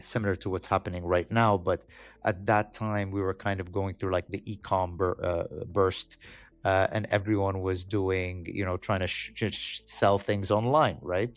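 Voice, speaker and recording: Male podcast guest from Saudi Arabia, low pitch, halting conversational delivery, dry recording. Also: no room sound. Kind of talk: conversational interview, telling own story.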